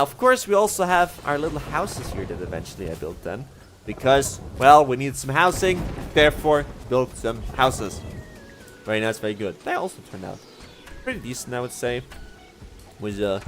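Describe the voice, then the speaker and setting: A young Swiss man, a German speaker, chatting casually while streaming a game, often laughing and correcting himself. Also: mid-range voice. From Switzerland. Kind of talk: casual gameplay livestream commentary.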